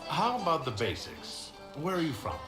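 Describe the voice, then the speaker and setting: A man's voice: on the high side, huge pitch swings, normal speed. An actor playing an inquisitive male leader who asks questions with a dry sense of humor. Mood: curious. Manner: curious questioning, dry wit. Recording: roomy game audio with music.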